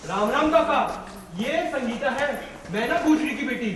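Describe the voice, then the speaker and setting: Obliging high-voiced caravan companion. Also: male voice, moderate pace, a bit bright, slight background noise. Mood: respectful, helpful. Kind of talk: Hindi stage play acting. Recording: distant echoey auditorium with music.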